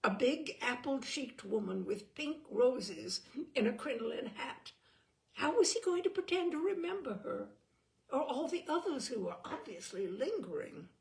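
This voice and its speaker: A woman's voice: high-pitched, very animated, normal speed, vocal fry. A woman reading a novel aloud as an audiobook narrator, telling the story expressively with the occasional small stumble. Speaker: audiobook reader.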